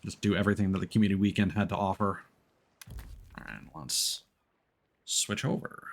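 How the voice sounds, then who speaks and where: Male game streamer, low register with some fry, relaxed and hesitant casual chatter. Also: moderate pace. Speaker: livestreamer hosting a co-op game. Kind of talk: casual gaming chat.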